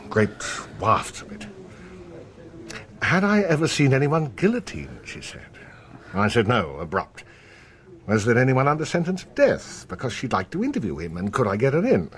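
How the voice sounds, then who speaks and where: Wry low-voiced police inspector, lively intonation. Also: male voice. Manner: sardonic, colloquial storytelling. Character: wry, world-weary male French police inspector. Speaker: actor. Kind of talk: naturalistic radio drama acting.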